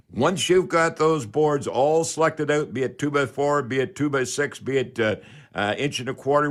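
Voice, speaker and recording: A man's voice: medium pitch, a bit bright. Emphatic man giving how-to advice. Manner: instructive, emphatic, repeats key phrases. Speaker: radio call-in show host giving home improvement advice. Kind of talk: unscripted how-to advice to a caller.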